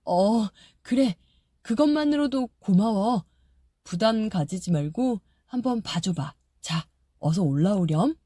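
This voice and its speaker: A woman voicing a gentle old chimney who pleads softly and thanks warmly in a storybook audiobook. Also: medium pitch, expressive, slow, no room sound, studio quality. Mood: grateful.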